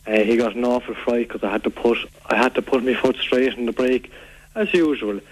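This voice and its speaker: A man's voice: medium pitch, fairly quick, natural voice. A man playing an aggrieved, rambling Irish radio caller. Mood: aggrieved, earnest. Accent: Irish, County Waterford. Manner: rambling, repetitive, earnest complaining. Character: aggrieved, rambling local man fed up with food-throwing youths. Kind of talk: prank call to a local radio show. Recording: phone call on local radio.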